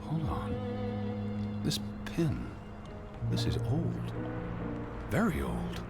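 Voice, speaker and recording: An actor playing a fretful, bookish, reluctant middle-aged scholar in a 1920s mystery video game, fussy and hesitant. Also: male voice, mid-range voice, huge pitch swings, extremely slow, creaky, loud music behind the voice, exaggerated voice. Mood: puzzled, studious.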